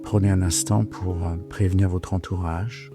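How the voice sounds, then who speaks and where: A man leading a guided sleep meditation in a soothing, unhurried, hesitant way with long pauses. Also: deep voice, level tone. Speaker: yoga nidra guide. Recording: soft guitar music behind the voice. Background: gentle plucked guitar music.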